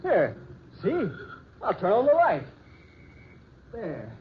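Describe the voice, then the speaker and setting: Cab driver, male: highish, lively voice, folksy and obliging, old radio drama. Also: slow, full character voice. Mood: reassuring. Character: chatty, down-to-earth working-class male cab driver. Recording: muffled old radio recording, faint noise.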